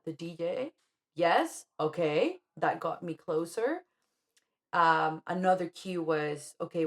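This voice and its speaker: A woman from Colombia, a DJ and music producer, co-hosting a casual podcast conversation and telling her story in a reflective, animated way. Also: deep voice.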